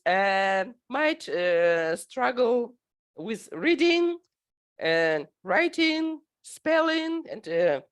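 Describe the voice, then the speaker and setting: A middle-aged woman from Ukraine with a Ukrainian accent, a native Russian speaker, giving an academic presentation in a halting way, full of fillers. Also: high voice, fairly bright tone.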